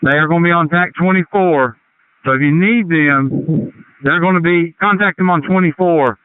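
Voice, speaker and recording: A man with a smooth, slightly high voice relays crew information over a tinny two-way radio. He explains things informally, in fireground radio style. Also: normal speed. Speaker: accountability officer on fire radio.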